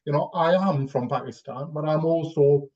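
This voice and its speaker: A man with a fairly high voice reflects as a panellist on an online call. He speaks hesitantly, often repeating phrases as he thinks, and the audio is a little dull. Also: steady tone, normal speed, a little mellow. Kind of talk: reflective personal anecdote in a panel discussion.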